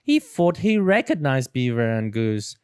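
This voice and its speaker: A storyteller reading a children's book aloud in an expressive, dramatic and deliberate way. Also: mid-range voice, clear, resonant tone, no echo, studio quality. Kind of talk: expressive read-aloud narration.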